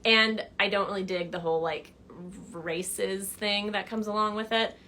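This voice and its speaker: A young woman answering viewer questions in a casual livestream chat from her living room couch, animated and chatty. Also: mid-range voice, moderate pace, a little hiss or hum. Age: young adult. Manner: chatty and animated, gestures a lot, laughs while telling stories. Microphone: phone camera at arm's length.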